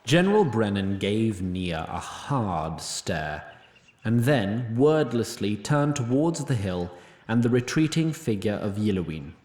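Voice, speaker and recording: A man's voice: fairly low pitch, sing-song, measured pace, a little mellow. Wry male narrator with roomy echo. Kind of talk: scripted storybook-like narration of a fantasy audio drama.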